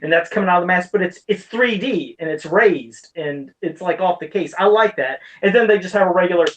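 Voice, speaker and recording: A woman's voice: fairly low pitch, fairly quick, highs cut off. A woman in her thirties hosting a casual pop culture podcast, talking in an opinionated, rambling way peppered with swearing. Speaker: podcast host.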